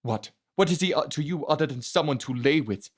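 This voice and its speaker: Man acting a bitter, anguished brother, raised pitch. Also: expressive, brisk, slightly rough. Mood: bitter, accusing. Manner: accusing, anguished delivery. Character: bitter, hot-tempered, grieving male warrior.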